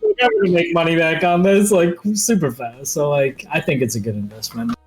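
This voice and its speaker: A man's voice: a little high, brisk, low-quality recording. A man chatting casually in a slangy, joking way on a talk show. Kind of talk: casual chat.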